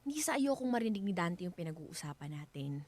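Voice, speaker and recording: A woman's voice: lowish voice, big pitch swings, fairly quick, a little mellow. A woman acting as a wary, insistent wife in a Filipino radio drama, earnest and polite but nagging. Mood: worried, wary.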